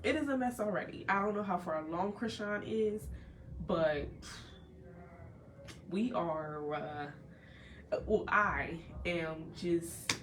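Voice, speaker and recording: A woman's voice: medium pitch, slight background noise. A young woman hosting a casual talk for a live audience, nasal and congested from a cold, chatty and earnest with many restarts. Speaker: host. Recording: a little distant from the mic, faint background ambience.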